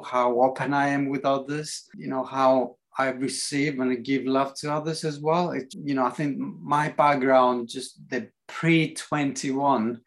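A man from Greece, talking openly and reflectively as a podcast interview guest, with frequent fillers. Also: mid-range voice. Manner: open, reflective, many fillers. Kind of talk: casual interview conversation.

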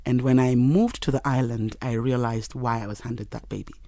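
A man, a Yoruba speaker, telling his life story in an interview in an earnest, measured way. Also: mid-range voice, fairly even intonation, gravelly, dark tone, dry recording, clean studio sound. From Nigeria. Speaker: interview guest.

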